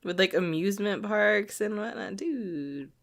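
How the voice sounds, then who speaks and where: A woman's voice: medium pitch, lively intonation, measured pace. A woman co-hosting a podcast, chatting casually about games in an informal, giggly way. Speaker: podcast co-host.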